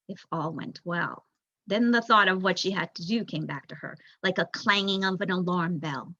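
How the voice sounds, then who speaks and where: A woman's voice: mid-range voice, fairly bright tone, slightly rough, dry recording. A young adult woman reading a children's novel aloud as a storyteller, on a dull recording. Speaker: volunteer story reader, student.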